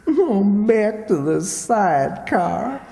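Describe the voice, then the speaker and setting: A man's voice: high voice, animated, slow, vocal fry, warm tone, heavily acted. A man playing a whiny, naive country sidekick in a radio comedy, with a plaintive, folksy way of talking. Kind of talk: old-time radio comedy acting on stage. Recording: echoey hall with long reverb.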